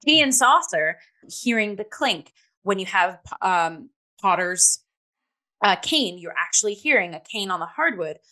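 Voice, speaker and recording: Woman, review podcast co-host, medium-pitched voice, conversational, explains through loose strings of examples. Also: animated, unhurried, bright, forceful tone. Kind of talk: casual review discussion.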